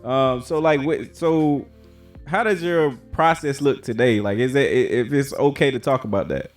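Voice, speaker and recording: A man with a medium-pitched voice hosts a casual interview. He phrases things a little hesitantly and adds encouraging interjections. Faint instrumental music sits behind his voice. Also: moderate pace. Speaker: interviewer, host.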